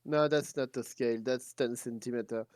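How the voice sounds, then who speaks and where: A man's voice: mid-range voice, fairly even intonation, normal speed, a little mellow, no echo. A man with a French accent chatting casually on a live stream over a voice call, insistent and hesitant with many restarts. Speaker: stream guest.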